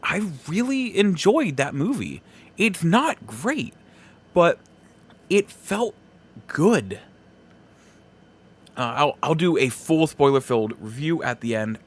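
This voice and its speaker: Animated man hosting a casual podcast. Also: a little high. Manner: halting, rambling, repeats phrases for emphasis. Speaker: podcast host. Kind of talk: casual solo podcast commentary.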